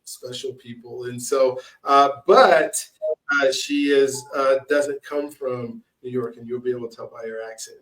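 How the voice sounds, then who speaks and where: A man hosting a podcast in a casual interview style, with halting, repetitive, drawn-out phrasing, recorded at some distance from the mic. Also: on the high side. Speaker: podcast host.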